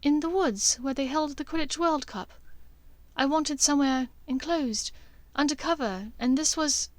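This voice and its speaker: An anxious young witch, voiced by a woman narrator, speaks high and urgently. She pleads in halting, breathless phrases, as in a dramatic fantasy audiobook, with a close, dry sound. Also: no room sound. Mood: tense, practical.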